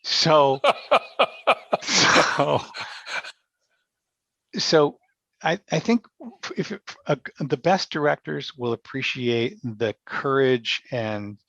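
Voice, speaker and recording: A man talking casually as an interview guest, explaining through anecdotes, often with halting starts. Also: medium pitch, vocal fry, pushed and bright, no room sound, muffled recording. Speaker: podcast guest, stage actor and director.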